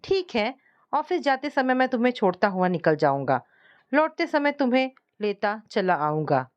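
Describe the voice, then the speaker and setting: A woman's voice: fairly high pitch, big pitch swings, normal speed, clean tone, dull audio. A woman voicing an irritable, suspicious, controlling husband in a narrated domestic story, scolding and dismissive. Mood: possessive, curt.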